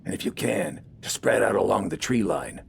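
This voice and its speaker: A gruff military corporal, acted by a male narrator, speaks in a low register over clear background rumble. He is bossy and curt, handing out orders with scornful sarcasm in a war audio drama. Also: expressive, moderate pace. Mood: terse, ordering.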